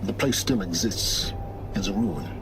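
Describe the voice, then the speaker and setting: A man playing an aloof, knowledgeable guide in a video game, speaking in a measured, formal and condescending way. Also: fairly low pitch, animated, over music. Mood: aloof, explanatory. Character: aloof, knowledgeable male guide, curt and scholarly. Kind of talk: video game dramatic dialogue.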